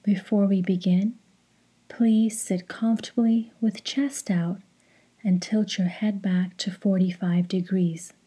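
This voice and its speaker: A woman leading a guided meditation in a hushed, breathy voice, giving calm, soothing, deliberate instructions. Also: medium pitch.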